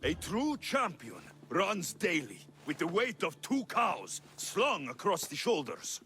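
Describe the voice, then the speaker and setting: An actor playing a cocky, confident Greek mercenary hero in a video game, dry and taunting. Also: male voice, on the high side, wildly swooping pitch, takes it slowly, bright, forceful tone, faint music behind, full character voice.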